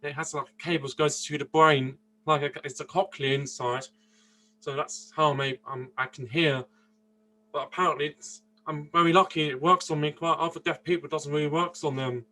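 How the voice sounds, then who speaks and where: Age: 20s. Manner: earnest, halting, flat. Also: male voice, fairly high pitch. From Austria. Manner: earnest, halting, frequent restarts and searching for words. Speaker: interview guest. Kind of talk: casual interview answers. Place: indoors, seated interview.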